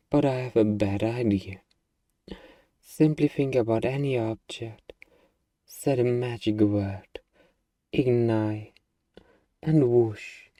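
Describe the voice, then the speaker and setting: A man voicing an eager, friendly, bumbling little demon in an intimate, playful ASMR roleplay, hesitant and coaxing with drawn-out hums. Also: medium pitch, mellow, no echo, natural voice.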